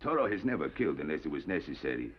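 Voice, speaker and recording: Deep-voiced Mexican outlaw chief. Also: male voice. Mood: firm. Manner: calm, deliberate, courteous with quiet menace. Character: measured, authoritative aging Mexican outlaw gang chief. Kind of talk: 1950s television western acting. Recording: dull, noisy old TV western soundtrack.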